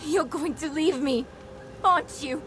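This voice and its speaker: Fragile wounded girl, high voice, slow, halting, plaintive, game drama over loud music. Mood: fearful pleading. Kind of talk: dramatic video game dialogue.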